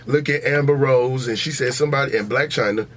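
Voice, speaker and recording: A man's voice: medium pitch, fairly quick, a bit bright. An American man hosting a podcast in a casual, opinionated debate, blunt and profane, repeating himself for emphasis. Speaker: podcast host.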